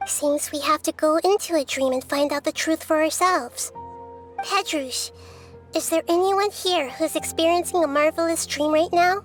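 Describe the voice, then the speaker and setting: A woman plays a little goddess from a video game with a very high, youthful voice. She is gentle and wise, speaking in measured, articulate phrases over clearly audible music. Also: close to an everyday voice. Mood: grave, composed. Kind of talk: video game cutscene acting. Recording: music playing behind the voice.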